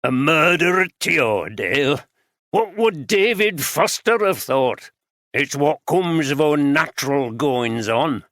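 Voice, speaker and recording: A man voicing a blunt, gruff brewery guide in his sixties with a broad Yorkshire accent. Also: fairly high pitch, animated, takes it slowly, fairly bright tone, dry recording, clean studio sound, voice pushed up, exaggerated voice. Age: 60s.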